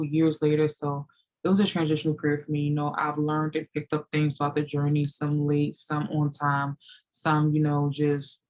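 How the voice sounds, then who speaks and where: A woman's voice: low-pitched, a little mellow, low-quality recording. Rambling woman in her late thirties. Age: 30s. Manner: rambling, full of "you know" and "like". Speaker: fitness vlogger. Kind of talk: casual Q&A chat.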